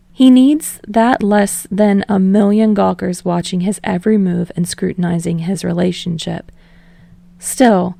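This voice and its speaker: Woman narrator, scripted story reading, noticeable vocal fry, dry close sound, middle pitch. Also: warm tone, no room sound. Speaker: fan fiction audiobook narrator.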